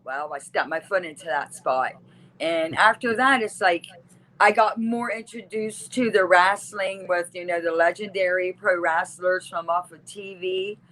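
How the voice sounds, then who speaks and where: A woman's voice: fairly low pitch, unhurried, fairly bright tone, low-quality recording. A woman from West Virginia speaking casually as a guest on an interview show about her work as a wrestling manager. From West Virginia, United States. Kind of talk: casual conversation.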